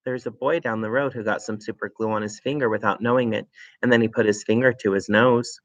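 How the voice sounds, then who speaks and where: A man's voice: on the low side, fairly even intonation, a little fast, no room sound, natural voice. A man voicing a clever, cheeky little girl who speaks with sweet innocence. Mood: matter-of-fact.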